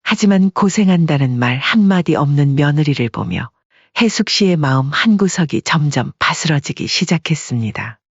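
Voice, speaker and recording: Story narrator, husky voice, scripted delivery, dry, slightly muffled recording. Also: mid-range voice, animated, moderate pace, no echo.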